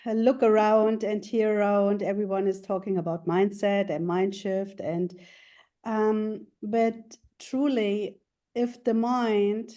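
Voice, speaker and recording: A woman's voice: mid-range voice, level tone, slow pace, warm tone. A woman in her thirties from Germany, a life coach answering interview questions in a hesitant way, with many fillers and restarts.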